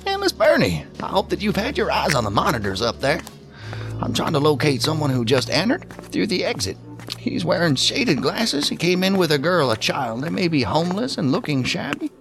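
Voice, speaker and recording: An actor playing a brisk, inquisitive movie theater staffer with a casual, questioning way of talking. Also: male voice, on the high side, wildly swooping pitch, vocal fry, fairly bright tone, faint music behind, exaggerated voice. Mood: brisk, searching.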